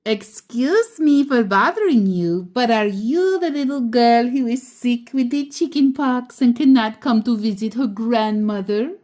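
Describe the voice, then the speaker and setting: Woman reader as a warm-hearted grandma in a picture book; polite, coaxing, unhurried delivery. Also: on the high side, expressive, voice pushed up. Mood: playful warmth. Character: polite, warm-hearted grandmother neighbour carrying food to share.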